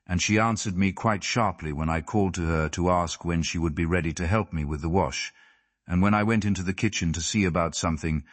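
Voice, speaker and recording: A man's voice: deep voice, dry recording. A man reading classic fiction aloud as scripted audiobook narration. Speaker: audiobook reader.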